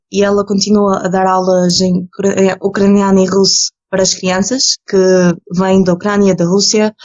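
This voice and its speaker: A woman's voice: mid-range voice, darkish tone, highs cut off. A young woman born in Ukraine and raised in Portugal, speaking as an easygoing interview guest. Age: young adult. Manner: easygoing, laughs often, some false starts. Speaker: guest, competitive swimmer. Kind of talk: casual interview answers.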